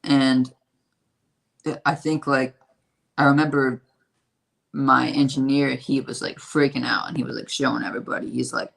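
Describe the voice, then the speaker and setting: Man, raspy and hoarse, gravelly fry, flat delivery, rambling with fillers. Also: mid-range voice, rough recording. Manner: halting and rambling, with lots of fillers and swearing. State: hoarse from a strained voice. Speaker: independent musician. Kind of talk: casual interview talk.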